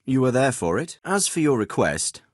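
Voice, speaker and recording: An actor playing a middle-aged noble lord and commander in a video game, with a measured, formal and paternal manner. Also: male voice, mid-range voice, very animated, dry recording, studio quality. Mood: matter-of-fact. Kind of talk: acted video game dialogue.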